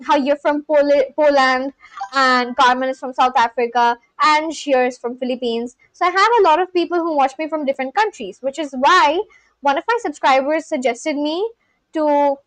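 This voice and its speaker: A woman's voice: sits high, fairly bright tone, no echo, poor audio. A woman from India with an Indian accent hosting a live stream, chatting casually with viewers, laughing often and talking straight to her chat. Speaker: livestream host.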